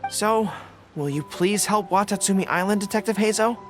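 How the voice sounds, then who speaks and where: A man's voice: high voice, normal speed, soft background music, close to an everyday voice. A man voicing an earnest young general. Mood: earnest, formal. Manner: formal, courteous and earnest.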